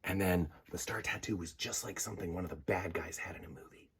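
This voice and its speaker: A man's voice: low-pitched, quick pace, creaky, a bit bright, breathy edge. A man reading a children's picture book aloud expressively, with dramatic delivery and conversational asides. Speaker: storytime host reading aloud.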